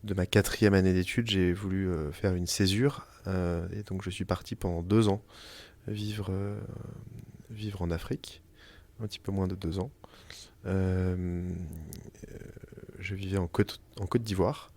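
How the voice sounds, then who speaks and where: A young French man, an interviewee telling his story in casual conversation, pausing often with fillers and restarts. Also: sits low, fairly even intonation, a little mellow. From France. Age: young adult. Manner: hesitant, many fillers and restarts. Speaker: interviewee, company founder. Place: family house in the countryside.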